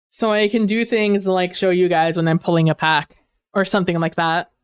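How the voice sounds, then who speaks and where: A woman's voice: fairly low pitch, steady tone, moderate pace, smooth, dry recording, studio quality. A woman streaming a game on a headset mic, chatting casually and rambling with fillers while losing her voice. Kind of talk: casual gaming stream chat.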